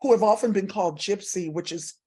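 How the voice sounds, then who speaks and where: A woman speaking as an academic author in an interview, explaining thoughtfully and haltingly with repeats. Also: mid-range voice, mellow, breathy edge. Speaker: professor and author guest.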